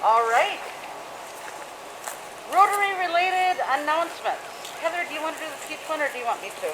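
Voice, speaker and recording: A woman's voice: high-pitched, animated, moderate pace. A woman with a Minnesotan accent casually running a club meeting in a park, with loose, chatty talk and fillers. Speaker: meeting presider. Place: outdoor park. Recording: noisy outdoor recording.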